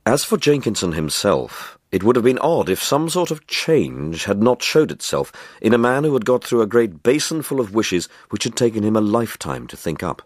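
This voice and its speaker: A man narrates a short story in a low voice with very lively intonation. His delivery is deliberate and dramatic, and the sound is close and dry, free of room echo. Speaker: story reader.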